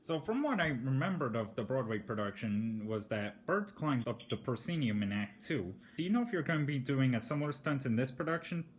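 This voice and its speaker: A man hosting a podcast interview, asking prepared questions in a measured, formal way. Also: mid-range voice, expressive.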